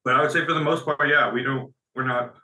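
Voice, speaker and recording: Bright-voiced man answering in an echoey room. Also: mid-range voice, fairly even intonation, fast talker. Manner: conversational, repeats phrases as he restarts. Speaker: business guest. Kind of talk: casual interview answers.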